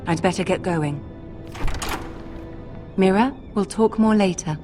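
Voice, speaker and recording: An actress playing a shrewd young noblewoman in a fantasy game, gracious and archly polite, speaking in a measured courtly way. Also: mid-range voice, dark tone, soft background music, natural voice. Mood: cool, guarded. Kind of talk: dramatic fantasy game acting.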